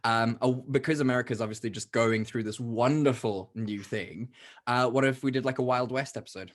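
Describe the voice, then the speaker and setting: A young man co-hosting a movie podcast in casual chat, laughing often and tossing in quick asides. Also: mid-range voice, fairly even intonation. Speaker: podcast co-host.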